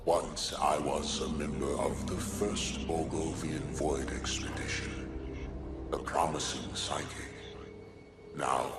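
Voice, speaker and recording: An actor playing a tormented, weary male monstrosity in a video game, a mutated psychic who speaks gravely and with regret. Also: extremely low pitch, steady tone. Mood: grave, sorrowful. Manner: grave, regretful, slow and deliberate. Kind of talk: video game cutscene acting. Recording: loud music under the voice.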